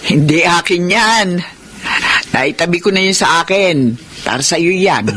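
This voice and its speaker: A wise, motherly old grandmother in a Filipino radio drama. Her delivery swings widely in pitch, over clearly noisy audio. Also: unhurried. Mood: welcoming. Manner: animated, motherly.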